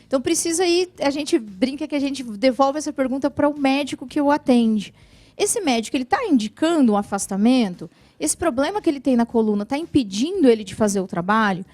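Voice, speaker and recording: A Brazilian woman answering viewers' questions about benefits in a TV interview, explaining patiently and clearly, often adding "né". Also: a little high, a little mellow, no echo. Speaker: social security lawyer guest. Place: TV studio.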